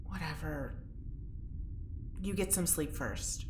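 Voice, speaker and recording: An actress playing an anxious, sharp-tongued young woman in a sci-fi audio drama, speaking bluntly and informally. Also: deep voice, takes it slowly. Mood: bitter, tired. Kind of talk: audio drama acting, naturalistic dialogue. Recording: roomy echo, faint background noise.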